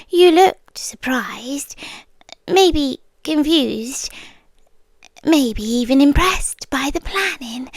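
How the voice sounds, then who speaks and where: A young British woman voicing an obsessive, doting girlfriend in an ASMR roleplay, speaking softly and sliding into close whispers. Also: high voice, no echo, heavily acted. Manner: soft-spoken, drifting into whispers, gently unsettling.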